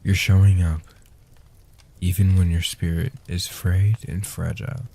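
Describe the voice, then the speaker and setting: A man playing an ancient, protective male vampire in a soft-spoken comfort roleplay, speaking in a breathy, hushed, deliberate and soothing way. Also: low-pitched, little pitch movement, full character voice. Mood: tender, reassuring. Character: ancient male guardian vampire, protective, tender, world-weary.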